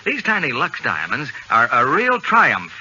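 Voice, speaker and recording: A man's voice: medium pitch, huge pitch swings, measured pace, sharp tone, husky. A man announcing on 1940s radio with scripted advertising patter. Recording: muffled vintage radio with faint hiss.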